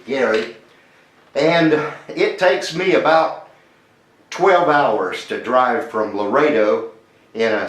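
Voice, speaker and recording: A man chatting as an amateur radio operator, repeating details and spelling call signs phonetically. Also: mid-range voice. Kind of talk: conversational ham radio contact. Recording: roomy, echoing ham radio audio.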